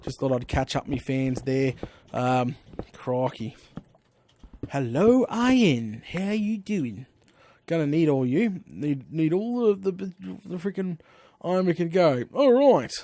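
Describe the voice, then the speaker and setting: Chatty Australian man, fairly high voice. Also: slow pace. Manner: chatty, animated storytelling with frequent slang exclamations. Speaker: gaming live streamer. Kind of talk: rambling casual chat while playing.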